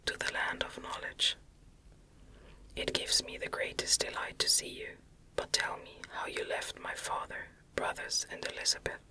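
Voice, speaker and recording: A woman whispering an ASMR reading of a classic novel in a soft, careful, deliberate delivery, close to the microphone. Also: rough recording. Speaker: audiobook narrator.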